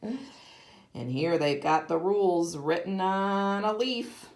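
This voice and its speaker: Lady teacher doing storytime for little kids, slow and really animated, bit echoey. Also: fairly low pitch. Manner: expressive, child-directed, asking questions. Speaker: kindergarten teacher. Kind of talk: read-aloud of a picture book with asides to children. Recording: roomy, echoey sound.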